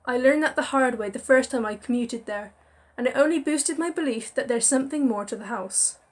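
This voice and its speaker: A woman reading a visual novel aloud with expressive storytelling and chatty asides. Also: a little high, a little fast. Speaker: game narrator.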